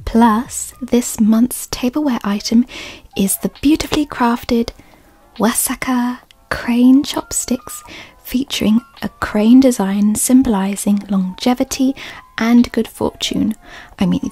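A woman reading a sponsor segment in a soft, partly whispered ASMR style. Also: a little high, slow, husky, soft background music. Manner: gentle, partly whispered, with soft tasting sounds. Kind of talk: soft-spoken ASMR ad read.

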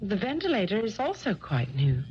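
An actress playing a polite, anxious young heiress in a 1970s radio drama, proper and a little hesitant. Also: female voice, medium pitch, huge pitch swings, normal speed. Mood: puzzled. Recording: dull, noisy 1970s radio recording.

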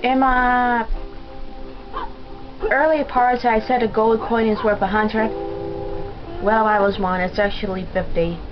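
A woman casually commenting while playing a video game, chatty and quick. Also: on the high side, steady tone. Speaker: gamer. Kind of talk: let's play commentary. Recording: dull lo-fi game capture with music.

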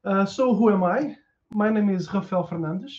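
Portuguese man, mellow muffled talk. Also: high-pitched, moderate pace. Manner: relaxed, lots of ums and uhs, restarts. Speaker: conference speaker. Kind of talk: casual technical talk. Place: small room at home. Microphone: headset.

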